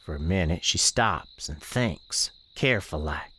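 An actor playing a plainspoken, watchful country storekeeper, narrating a supernatural radio drama in a deliberate, homespun way. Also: male voice, sits low, expressive, bright, forceful tone, husky, exaggerated voice. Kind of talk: first-person radio drama narration and dialogue.

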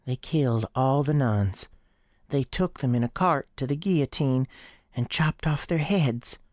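A woman voicing a young girl with a high girlish voice and a folksy, childlike storytelling manner in an audio drama. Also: unhurried, a little mellow, no room sound, studio quality. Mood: troubled. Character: young Southern girl Sarah, earnest, defiant, chatty. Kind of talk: acted audio drama dialogue.

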